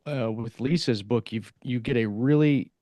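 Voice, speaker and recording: A man's voice: mid-range voice, moderate pace, dark tone, dry recording. A man co-hosting a podcast, chatting casually in an informal, rambling way. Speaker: podcast co-host and author.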